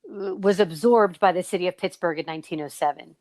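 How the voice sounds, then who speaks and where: A woman's voice: fairly low pitch, fast talker, a little mellow, no room sound. Playful, chatty woman hosting a podcast. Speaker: podcast host. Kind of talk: casual chat, quiz and interview hosting.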